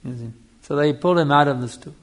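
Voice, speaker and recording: A man's voice: fairly high pitch, fast talker. A man giving a spiritual lecture in a didactic way, repeating phrases for emphasis and checking in with his listeners. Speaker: spiritual teacher giving a discourse. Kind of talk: Hare Krishna style lecture on scripture.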